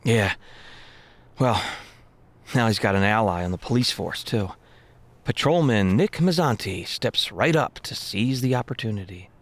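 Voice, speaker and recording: Husky, animated anxious everyman. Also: on the low side, measured pace, no echo. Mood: incredulous. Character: anxious, decent man uncovering a small-town conspiracy. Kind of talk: naturalistic audio drama acting.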